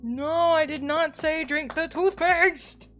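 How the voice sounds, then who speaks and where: A woman live streamer chatting casually to viewers in an expressive, rambling, playful way, sounding a little sleepy. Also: high-pitched, slow. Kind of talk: casual chatty livestream sign-off. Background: music.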